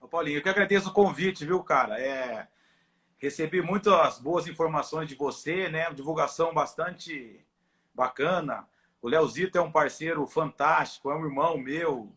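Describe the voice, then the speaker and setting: Middle-aged male doctor as interview guest, inland São Paulo Brazilian accent, high voice, casual and friendly. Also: moderate pace, a bit bright. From Ribeirão Preto, São Paulo, Brazil. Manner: friendly, informal. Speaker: guest, football club doctor. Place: at home on a video call.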